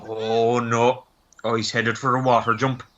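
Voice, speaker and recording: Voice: mid-range voice, little pitch movement, a little slow, a bit bright, highs cut off, natural voice. An actor playing a curious, easygoing Irish man who gives bemused commentary in a comic radio play.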